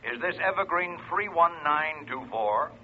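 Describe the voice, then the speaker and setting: A man's voice: on the high side, lively intonation, a little slow. An actor playing a polite, apologetic man calling on the telephone in an old-style radio drama, heard through a phone filter. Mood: puzzled, polite. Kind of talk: 1940s radio drama acting. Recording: tinny phone line, old radio hiss.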